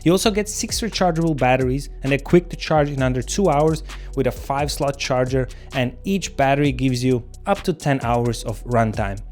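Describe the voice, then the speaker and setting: A man with a medium-pitched voice presents a gear review as a channel host. He speaks casually and explains things step by step, addressing viewers directly. Soft music plays behind his voice. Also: normal speed. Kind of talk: casual scripted product review to camera. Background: background music.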